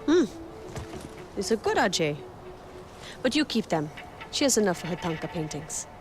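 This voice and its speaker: A woman's voice: fairly low pitch, big pitch swings, moderate pace. A woman playing a stern, protective young rebel leader in a video game, with a firm, instructing manner. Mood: guarded, earnest. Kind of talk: video game cutscene acting. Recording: loud music behind.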